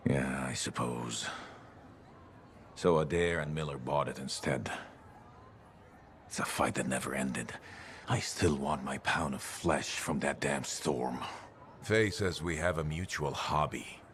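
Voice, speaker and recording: Very deep, roguish old veteran. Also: male voice, takes it slowly, long pauses, a bit bright, a little hiss or hum, heavily acted. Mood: haunted, brooding. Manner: roguish, bantering, world-weary. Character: gruff older male veteran, roguish, haunted by loss. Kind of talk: video game dialogue.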